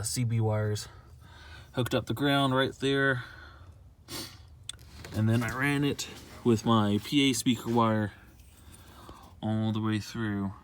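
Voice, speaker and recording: A young man talking in a casual, unscripted how-to vlog, rambling and repeating himself in a conversational way. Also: fairly low pitch. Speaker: amateur video maker showing a car project.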